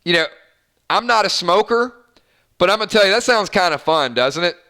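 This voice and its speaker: A man's voice: sits high, expressive, a little fast, pushed and bright. A man hosting a casual fan live stream, chatting and reading out viewer comments in an upbeat, rambling way. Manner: chatty, upbeat, lots of fillers and restarts.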